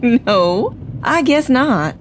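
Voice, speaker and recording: A woman's voice: high-pitched, sing-song, very slow, a little hiss or hum, full character voice. A woman acting an exasperated, fearless, teasing partner in an audio drama, with a no-nonsense, mocking way of talking.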